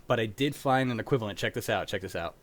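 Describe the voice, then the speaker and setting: A man's voice: lowish voice, fast, noisy background. A young man hosting a livestream, chatting casually with a wry, joking, sweary manner. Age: young adult. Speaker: host of a livestreamed radio script show. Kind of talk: casual chat after a show. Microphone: home microphone on a video call.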